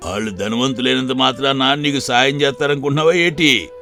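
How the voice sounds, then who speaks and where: A man acts out a trusting grocery owner from a village tale. His talk is familiar and rustic, in a middling pitch. Quiet music plays beneath him. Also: brisk. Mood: trusting, curious. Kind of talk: dramatized moral story for video.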